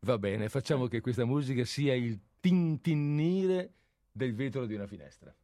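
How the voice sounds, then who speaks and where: A man's voice: mid-range voice, lively intonation, moderate pace, mellow, dry recording, studio quality. A man co-hosting a radio programme in a casual, playful way, with a hesitant, wry and mock-formal manner. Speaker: radio show co-host.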